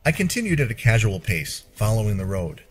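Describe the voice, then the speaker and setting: A man narrating a first-person fantasy story in a measured, expressive storyteller's delivery. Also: fairly low pitch, slight background noise.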